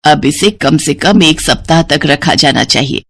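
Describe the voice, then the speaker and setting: A woman's voice: low-pitched, unhurried, fairly bright tone, husky, voice pushed down, exaggerated voice. A woman voicing a loving elderly grandmother in a story, kind and patient as she instructs. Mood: gentle, instructive.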